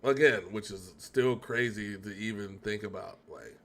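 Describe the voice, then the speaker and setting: A man's voice: fairly low pitch, measured pace, fairly bright tone. A man chatting casually as co-host of a reaction show, rambling and often correcting himself as he goes. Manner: rambling, talkative, self-correcting.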